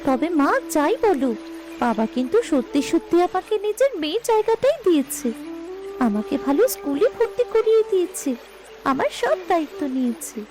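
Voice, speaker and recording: A woman's voice: very high voice, big pitch swings, a little fast, over music. A woman voicing an unhappy young daughter in a cartoon melodrama, speaking in a plaintive, complaining way. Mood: earnest. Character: unhappy, aggrieved young daughter confiding in her mother. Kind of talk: melodramatic cartoon story acting.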